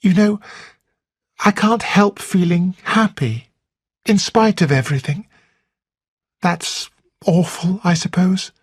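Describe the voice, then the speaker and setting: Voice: medium pitch, lively intonation, takes it slowly, long pauses, husky, no room sound, studio quality, pitched above the performer's own voice. A reader doing a giddy young woman. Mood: elated. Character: cheerful young woman, excitable and warm.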